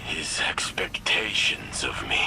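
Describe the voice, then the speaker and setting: Deep, slow, noble warrior robot. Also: male voice, very animated, vocal fry, in a whisper, heavily acted. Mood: anxious, heavy-hearted. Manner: formal, deliberate, solemn, few contractions. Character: noble, gentle, earnest male warrior robot. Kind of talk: video game dramatic dialogue.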